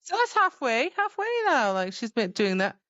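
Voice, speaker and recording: A woman's voice: a little high, sing-song, moderate pace, a bit bright, no echo, muffled recording. A woman co-hosting a casual book podcast, chatting informally and loosely quoting characters. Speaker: podcast co-host.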